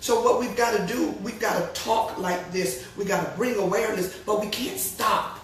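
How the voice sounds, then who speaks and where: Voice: medium pitch, normal speed, very echoey, distant mic, a little hiss or hum. A co-host on a faith talk show who speaks conversationally and keeps affirming the other speaker. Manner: affirming, conversational, frequent agreement.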